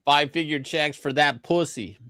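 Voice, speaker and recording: A man's voice: a little high, moderate pace, sharp tone, no room sound, studio quality. A man co-commentating on a livestream in casual, joking chat.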